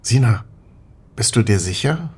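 Man acting slow, husky, worried scientist. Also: mid-range voice, lively intonation, darkish tone. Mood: wary, resigned. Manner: slow, hesitant, questioning. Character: weary, worried Soviet scientist, a cautious male lover. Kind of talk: German audio drama acting.

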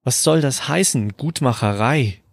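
A man's voice: mid-range voice, a little slow, vocal fry, no room sound, clean studio sound. A man acting as a stressed restaurant cook near breaking point, subdued and earnest, in a German audio drama. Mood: frustrated.

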